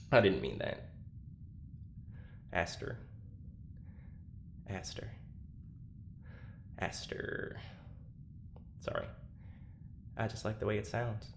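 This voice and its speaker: Weary, gravel-edged male prisoner. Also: lowish voice, background noise, exaggerated voice. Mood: subdued, tender. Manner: halting, apologetic, self-deprecating. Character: weary, self-loathing male prisoner and test subject, wistfully lovelorn. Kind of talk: confessional audio drama monologue.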